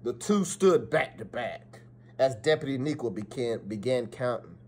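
A man's voice: medium pitch, expressive, normal speed, slight background noise. A middle-aged man reading a children's story aloud expressively, with dramatic emphasis and the odd stumble. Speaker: school principal reading aloud. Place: small office, indoors. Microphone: phone or webcam at arm's length.

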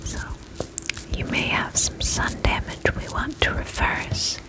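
A young woman playing a gentle vampire spa attendant in a soothing, breathy ASMR whisper, slow and polite, close to the microphone. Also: natural voice. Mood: soothing, attentive. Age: young adult.

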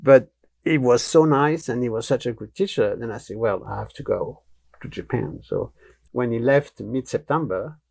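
Gravelly older man with a French accent. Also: medium pitch, normal speed. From France. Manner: blunt, animated, gestures with hands while talking. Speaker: guest, martial arts teacher. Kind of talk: relaxed interview storytelling. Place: small studio room with wooden cabinets, indoors. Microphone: lapel mic.

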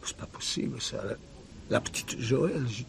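Middle-aged chef, gravelly, emotional, blunt, halting colloquial talk, radio drama. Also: male voice, mid-range voice, a little hiss or hum. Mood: shocked, grieving. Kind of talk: French detective radio play.

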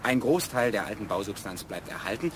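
Man acting low-voiced, halting middle-aged architect. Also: expressive, background noise, lightly acted. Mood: hesitant, self-important. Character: middle-aged architect, hesitant, self-important family father. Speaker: radio drama actor. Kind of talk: naturalistic German radio play acting.

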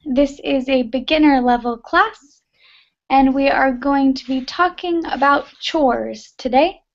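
A young woman with a Texan accent teaching a beginner English class over a webcam video call from her bedroom, speaking clearly and encouraging her students. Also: sits high, a little slow. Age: young adult. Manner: encouraging, repeats student answers, laughs often. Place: bedroom at home. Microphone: laptop webcam on video call. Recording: dull lo-fi video call audio.

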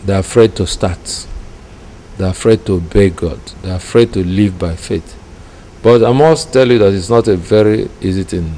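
Deep, mellow Nigerian man over noise. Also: moderate pace, low-quality recording. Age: middle-aged. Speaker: preacher. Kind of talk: personal testimony in an interview. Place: indoor room with curtains. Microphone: microphone close in front. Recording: clear background noise.

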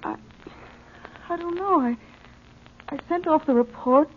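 A frightened, dazed young woman. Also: high voice. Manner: breathless, halting, fearful. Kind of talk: 1950s radio drama acting. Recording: tinny old broadcast recording, faint hiss.

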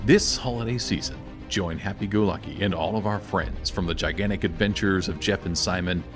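A man's voice: low voice, fairly quick, loud music behind the voice. A man reading scripted promo narration as a trailer announcer.

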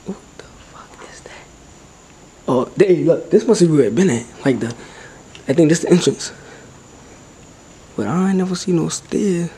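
A young man vlogging with a handheld camera as he explores a dark abandoned building at night, talking in loose slang and dropping to whispers. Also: on the high side, normal speed, pauses often, slightly rough. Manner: slangy, excitable, often hushed to a whisper. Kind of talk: casual handheld vlog. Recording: noisy camera audio.

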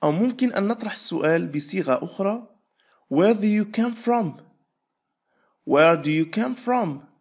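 A man leads an English drill in a somewhat high voice. He stretches each phrase out word by word, then speeds through quick explanations. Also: lively intonation, breathy edge. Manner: repeats phrases slowly, stretching words apart; explains rapidly between drills. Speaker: English teacher. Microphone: voice-over.

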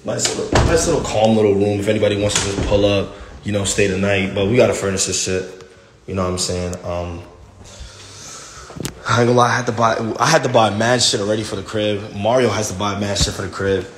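Young man, lowish voice, excitable slangy chat, phone at arm's length, faint hiss. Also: a little fast. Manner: excitable, slangy, swears a lot, hypes things up. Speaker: livestreamer giving a house tour. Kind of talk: casual livestream chat. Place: large empty house indoors, walking between rooms. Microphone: phone held at arm's length.

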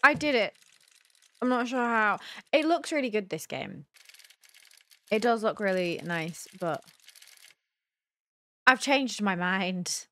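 A woman's voice: mid-range voice, lively intonation, slow, pauses often, pushed and bright, a little hiss or hum. A young woman gives casual let's play commentary, thinking aloud and questioning herself in puzzlement. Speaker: gaming video host. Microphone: close desk mic with headset on.

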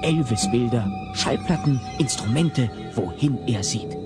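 A man narrating a scripted audio play as an expressive storyteller. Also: medium pitch, unhurried. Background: loud music.

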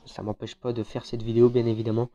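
A man's voice: medium pitch, little pitch movement, quick pace, mellow, lo-fi sound. A man with a French accent and a head cold, chatting casually with fillers as he commentates a mobile battle royale. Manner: chatty, informal, with frequent fillers. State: has a head cold, congested. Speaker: gaming YouTuber.

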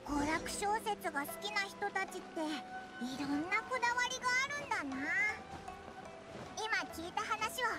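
An actress voicing a tiny, childlike, naive fairy companion in a video game, with blunt, casual, childish speech. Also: female voice, very high-pitched, animated, normal speed. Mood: curious. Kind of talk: scripted video game dialogue. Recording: soft music underneath.

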